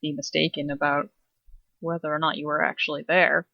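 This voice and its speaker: A woman playing a tabletop roleplaying game, speaking in character in a measured style. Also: sits low, little pitch movement, gravelly, dry recording, muffled recording.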